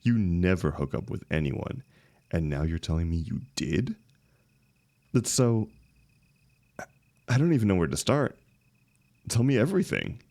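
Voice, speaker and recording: Very deep, gravelly young heartbreaker, acted by a man. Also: sing-song, darkish tone, no echo, exaggerated voice. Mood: surprised, curious. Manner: casual, halting, emotional, swinging emphasis. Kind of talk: intimate one-sided audio roleplay.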